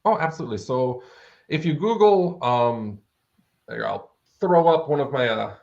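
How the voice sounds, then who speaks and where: A man's voice: mid-range voice, lively intonation, normal speed, creaky, darkish tone, highs cut off. A man chatting casually as an interview guest, explaining things in an informal, conversational way. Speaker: interview guest, fantasy author.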